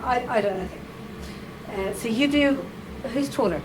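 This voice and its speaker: A woman with a fairly high voice reads slowly and deliberately at a live event, stopping to coax and tease her volunteers. Her tone is playful and often breaks into laughter. The recording is noisy, with room ambience underneath. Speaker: reader at a writers' salon. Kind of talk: reading aloud and directing volunteers.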